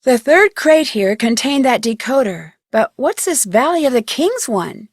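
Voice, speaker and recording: A young American woman playing a curious, chirpy amateur detective in an adventure game, thinking aloud with clear enunciation. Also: high voice, expressive, unhurried, dry recording, clean studio sound, heavily acted. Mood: inquisitive. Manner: clearly enunciated, inquisitive musing to herself.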